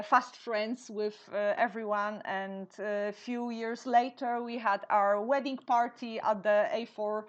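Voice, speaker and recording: Guest: Polish-accented woman, thirties, unhurried and halting, a bit high, dull lo-fi recording. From Poland. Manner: hesitant, many fillers and restarts. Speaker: guest, theater director and translator. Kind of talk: interview answers. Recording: dull lo-fi sound.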